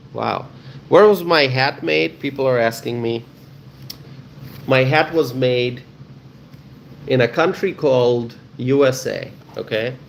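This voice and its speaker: Clear background noise sits around a man's slow, halting voice as he hosts a casual live stream. He often repeats words and trails into pauses while addressing his viewers. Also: mid-range voice.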